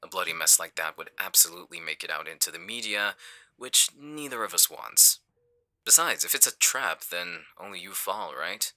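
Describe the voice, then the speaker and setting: A man playing a calculating, menacing villain in an audio roleplay, with a smug, condescending, deliberate delivery. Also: sits low, expressive, bright, forceful tone, full character voice. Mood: calm menace. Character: smooth-talking male villain, calculating, menacing leader. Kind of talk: audio roleplay acting on a phone call.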